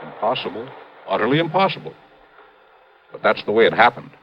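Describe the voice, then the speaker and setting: An American actor playing a blustering, big-hearted older mine owner in a 1950s radio drama, gruff, emphatic and booming. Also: male voice, sing-song, brisk. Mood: grave, baffled. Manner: emphatic, gruff, swinging from bellowing to grave. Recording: muffled, noisy old radio recording.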